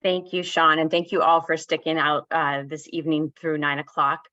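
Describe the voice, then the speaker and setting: A woman gives brisk, polished campaign statements in a flat, even tone. Her voice comes through a dull, muffled video-call line with a dry, close sound. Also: deep voice, dry recording. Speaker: county board candidate.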